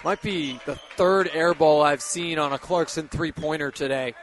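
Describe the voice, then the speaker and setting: A man with a fairly high voice gives color commentary on live basketball radio. He sounds conversational and explanatory, adding analysis, with the game and bouncing balls heard behind him. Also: normal speed, a little hiss or hum.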